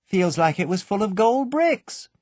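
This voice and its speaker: A man voicing a lively young woman in a light, raised voice. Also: sits high, a little slow, no echo, pitched above the performer's own voice, heavily acted. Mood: playful.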